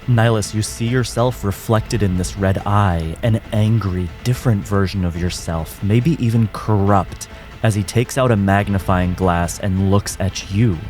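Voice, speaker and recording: A man acting as game master, narrating combat in a measured, descriptive way. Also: on the low side, a little mellow. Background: music.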